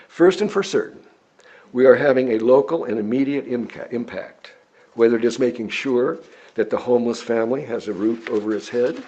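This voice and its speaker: An American man reading a sermon from a script, speaking in measured, deliberate phrases with emphatic pauses. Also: mid-range voice, mellow, muffled recording.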